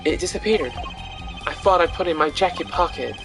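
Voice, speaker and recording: A woman's voice: lowish voice, fairly quick, over music, close to an everyday voice. A woman voicing a cool but self-pitying young male prosecutor in a video game, complaining theatrically. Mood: aggrieved.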